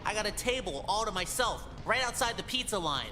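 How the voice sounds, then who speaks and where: A woman's voice: medium pitch, expressive, brisk, over music, natural voice. Woman voicing an eager nerdy schoolboy. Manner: eager and chatty.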